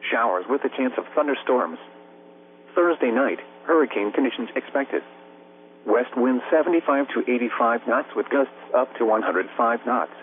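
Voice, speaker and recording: Synthetic American man on tinny weather radio. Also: medium pitch. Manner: formal, impersonal, measured recitation. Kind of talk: scripted weather bulletin narration. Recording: narrow-band weather radio broadcast, faint hum.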